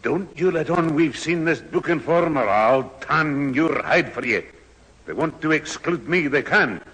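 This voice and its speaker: An older man playing a gruff, proud Scottish grandfather who scolds, in a radio sitcom. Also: a little high, expressive, a little slow, a bit bright, heavily acted. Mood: stern, offended. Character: gruff, proud, easily offended old grandfather. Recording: reverberant old radio studio recording.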